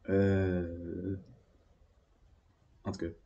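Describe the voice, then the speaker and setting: A man from Beauce with a Quebec French accent, rambling through personal reflections in a halting way, often searching for words. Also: sits low, little pitch movement, creaky, dark tone, lo-fi sound. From Beauce, Quebec, Canada.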